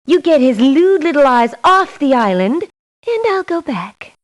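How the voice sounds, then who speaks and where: A woman's voice: sits high, big pitch swings, unhurried. An actress playing a sassy, blunt young woman mercenary in a video game, delivering her lines in a curt, theatrical way. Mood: indignant, scornful. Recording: muffled lo-fi game audio clips.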